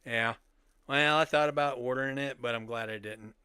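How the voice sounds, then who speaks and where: A man's voice: mid-range voice, normal speed, a crowd in the background. A middle-aged man chatting casually as a podcast host about collecting.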